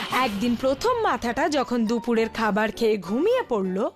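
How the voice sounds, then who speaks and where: A woman narrating a scripted children's story. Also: on the high side. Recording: faint music behind the voice.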